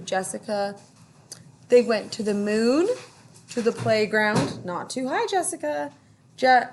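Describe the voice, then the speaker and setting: Gentle teacher reading a picture book. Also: female voice, a little high, a little hiss or hum. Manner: gentle, pauses to ask young listeners questions. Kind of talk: picture book read-aloud for children.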